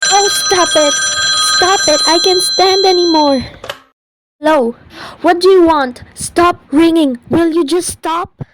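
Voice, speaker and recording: A querulous invalid wife alone at night, with a high voice and slow, drawn-out delivery. She pleads and repeats herself in frantic radio-thriller style, over faint noise. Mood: hysterical.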